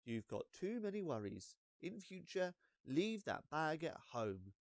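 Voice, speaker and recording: A strict woman teacher, played by a man scolds a pupil in a children's storybook. The voice rises in pitch with stern admonishment, in a dry, close recording. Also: big pitch swings, a little slow, dry recording. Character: cross female schoolteacher, strict and scolding.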